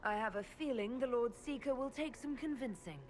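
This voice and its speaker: An actress playing a composed, sceptical heroine in a fantasy game, with a dry, understated manner. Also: female voice, a little high, moderate pace, slight background noise, close to an everyday voice. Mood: sceptical, wry. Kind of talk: naturalistic fantasy game acting.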